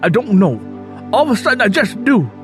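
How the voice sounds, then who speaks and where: A man's voice: high-pitched, big pitch swings, a little fast, pitched above the performer's own voice. Guy doing tired bitter older farmhand, voice pushed way up, impassioned, audio story with music. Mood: weary, impassioned. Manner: impassioned, coarse. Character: weary, embittered aging male sharecropper.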